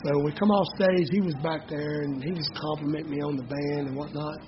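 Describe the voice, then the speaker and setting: A man's voice: fairly high pitch, steady tone, a little fast, dull audio. A man, chatting easily in an interview as a banjo-playing bandleader with folksy phrasing. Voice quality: raspy voice. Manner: easygoing storyteller, folksy phrasing. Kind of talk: casual interview chat.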